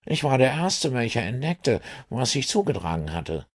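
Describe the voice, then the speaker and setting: A man doing a husky, agitated police inspector. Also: medium pitch, very animated, normal speed, voice pushed up. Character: gruff, agitated middle-aged male police inspector. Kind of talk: audiobook reading.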